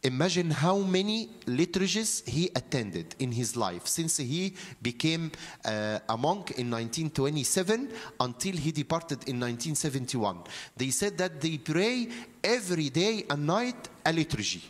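A man in his fifties with an Egyptian accent, a native Arabic speaker, preaching as a priest in halting phrases with frequent pauses. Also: fairly high pitch, animated. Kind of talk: sermon, storytelling from notes. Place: church interior, seated at a lectern. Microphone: handheld microphone held close.

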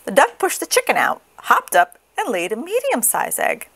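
A woman reading a children's story aloud with expressive, dramatic, deliberate phrasing. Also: on the high side, creaky, husky. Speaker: storybook reader.

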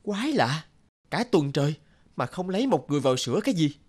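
A man's voice: on the high side, big pitch swings, fast talker. A man voicing a boastful, hapless young repairman in a cartoon, with an exaggerated, theatrical delivery. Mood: grumbling. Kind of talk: cartoon dubbing.

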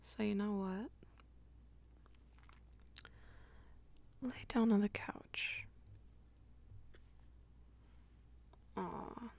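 A woman voicing a jealous, dominant vampire lover in intimate ASMR roleplay, mostly in a breathy whisper with a teasing, commanding manner. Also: mid-range voice, steady tone, measured pace, darkish tone. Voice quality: breathy, husky whisper with creaky edges.

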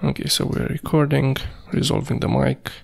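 A man presenting a screencast coding tutorial, thinking aloud in a halting, piecemeal way with a creaky, gravelly voice. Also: mid-range voice, fairly even intonation, a little mellow. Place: indoors at a computer, screen recording.

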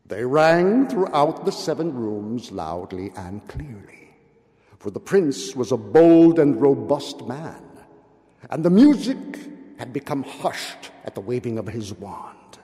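Older male literary reader, theatrical and measured, very unhurried pace, long hall reverb. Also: mid-range voice, big pitch swings, warm tone. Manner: theatrical, solemn, deliberate. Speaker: reader at a public event. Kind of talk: dramatic literary reading. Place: indoor hall, at a podium. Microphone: gooseneck podium microphone.

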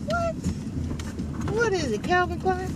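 A woman's voice: very high voice, huge pitch swings, takes it slowly. A young woman pranking her mother with sassy, mock-rude teasing during a casual chat in a car. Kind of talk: casual family banter. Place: inside a car. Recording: loud road noise in a car.

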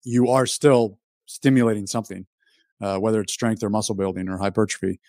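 A man's voice: lowish voice, steady tone, brisk, dark tone, no room sound, studio quality. A man in his thirties explaining things casually as a guest on a fitness interview. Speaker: fitness YouTuber, podcast guest. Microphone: microphone on a video call.

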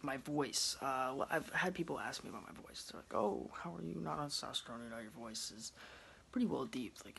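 A man's voice: mid-range voice, creaky, a little hiss or hum, low-quality recording. A man in his twenties chatting casually to his phone camera in a small room at home, rambling with lots of ums. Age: 20s. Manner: relaxed, rambling, many ums. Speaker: vlogger. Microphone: phone camera close up.

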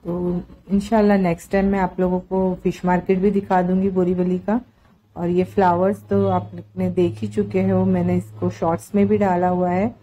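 Mellow-voiced woman vlogger. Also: fairly low pitch, little pitch movement, normal speed, poor audio. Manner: chatty, conversational. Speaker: market vlogger. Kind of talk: casual vlog voice-over narration.

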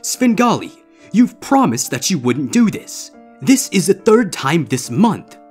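A man's voice: sits high, sing-song, unhurried, husky, soft background music, pitched above the performer's own voice, heavily acted. A man voicing a horrified, exasperated male stage magician who scolds vehemently in a storybook reading. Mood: outraged, desperate.

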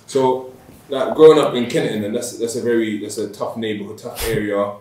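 A man's voice: mid-range voice, fairly quick, mellow, far from the mic, slight background noise. A man from South East London with a London accent, hosting a casual interview in a friendly, chatty way. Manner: chatty, friendly, repeats words. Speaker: interviewer and channel host. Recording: roomy echo, from off-mic.

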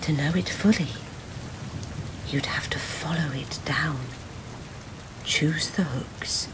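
A woman playing a weary, wry, anxious young boy's mother in an intimate audio-play monologue, confiding and at times dropping into breathy hushed tones. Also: low-pitched, takes it slowly, background noise. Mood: haunted, hushed.

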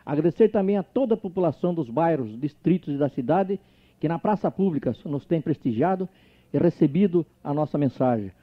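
A man's voice: on the high side, expressive, brisk. A Brazilian man, a mayoral candidate, delivering a formal, declamatory campaign speech to his voters. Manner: solemn, declamatory oratory. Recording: tinny lo-fi archive tape.

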